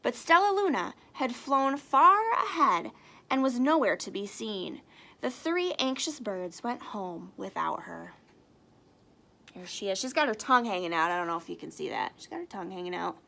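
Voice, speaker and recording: A woman's voice: medium pitch, sing-song, normal speed, gravelly, pushed and bright. A woman reading a children's storybook aloud expressively, with casual asides. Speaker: storybook reader.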